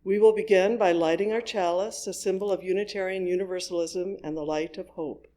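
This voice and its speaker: A worship leader reading a scripted service in a measured, deliberate way with pauses between phrases. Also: mid-range voice, steady tone, dark tone.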